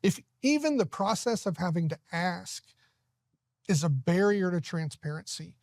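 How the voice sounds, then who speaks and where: A man's voice: sits high, expressive, normal speed, vocal fry, a little mellow, no echo. A man speaking as a city council candidate in an earnest TV interview, often restarting his sentences. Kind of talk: TV studio interview.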